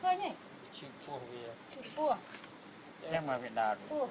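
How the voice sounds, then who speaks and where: A woman's voice: sits low, huge pitch swings, extremely slow, noisy background. A woman talking casually in a back-and-forth chat.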